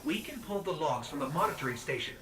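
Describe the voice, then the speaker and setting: An actor playing a small robot companion in a video game, wry, curious and slightly anxious, with quippy, expressive asides. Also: male voice, a little high, quick pace, over music. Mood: alert.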